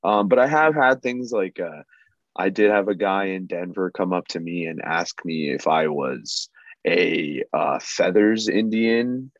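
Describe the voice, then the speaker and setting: A man's voice: deep voice, expressive, a little slow, no echo. A man who plays in a band, casually telling profane, self-deprecating anecdotes as an interview guest. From Canada. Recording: dull lo-fi sound.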